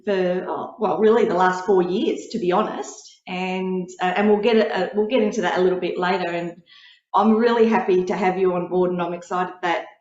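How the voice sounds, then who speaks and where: Woman, podcast host, chatty and warm-natured, lo-fi roomy audio. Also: fairly low pitch, steady tone, normal speed. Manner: chatty, laughs often, restarts sentences. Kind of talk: casual video interview. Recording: dull lo-fi sound in a roomy space.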